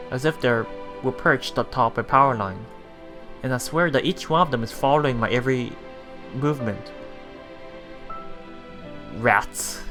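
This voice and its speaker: A man's voice: medium pitch, vocal fry. A man reading a visual novel aloud as a let's play host, stumbling and rereading lines as he goes. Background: faint music.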